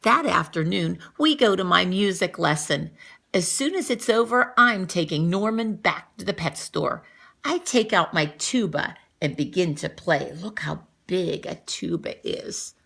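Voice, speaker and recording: A woman's voice: on the low side, unhurried, gravelly. A woman reading a children's picture book aloud like a teacher, expressively acting out the story and commenting as she goes. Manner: animated, acts out dialogue and comments on the pictures.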